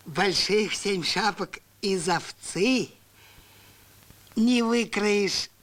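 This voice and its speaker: A man's voice: high-pitched, extremely slow, heavily acted. A man narrating a rhyming cartoon tale theatrically, acting out bits of dialogue. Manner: theatrical, expressive. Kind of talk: storytelling narration in rhyme with acted dialogue.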